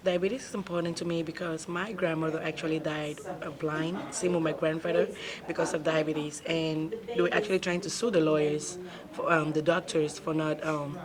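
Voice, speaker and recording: A woman's voice: low voice, steady tone, moderate pace, hardly any pauses, a crowd in the background. A young woman from Cameroon, a nursing student, answering interview questions in a plain, matter-of-fact way.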